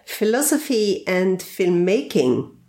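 A woman hosting a podcast, reading a scripted introduction and then interviewing a guest in a measured, deliberate way. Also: medium pitch, very animated, dark tone. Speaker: podcast host.

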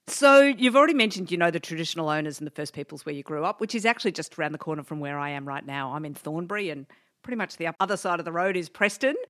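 A woman's voice: fairly low pitch, expressive, a little fast, fairly bright tone, no echo, studio quality. An Australian woman hosting a podcast interview, bubbly and enthusiastic. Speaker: podcast host.

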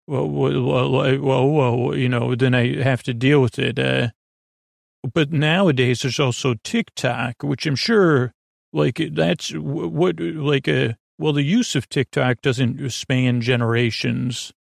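A man hosting a bedtime podcast, rambling softly and unhurriedly, often trailing off and correcting himself. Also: mid-range voice, steady tone, vocal fry, no echo, studio quality. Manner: meandering, halting, self-correcting; soft, unhurried and drowsy. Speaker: podcast host.

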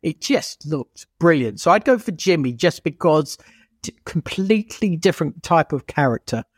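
A man's voice: on the high side, animated, moderate pace, breathy edge, no room sound, clean studio sound. A man co-hosting a casual, conversational chat about motorsport. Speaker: podcast co-host.

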